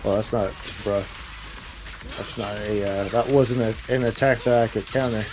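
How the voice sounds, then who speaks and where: A man's voice: lowish voice, fairly even intonation, moderate pace. A man livestreaming an action game with casual, slangy commentary, thinking aloud as he plays. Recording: game music behind the voice. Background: game music.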